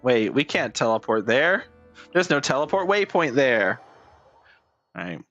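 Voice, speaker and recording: A man's voice: mid-range voice, very animated, a little slow, a bit bright, dull audio, faint music behind. A man giving casual, joking, playful gameplay commentary with swearing and mockery, like a streamer. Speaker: game streamer.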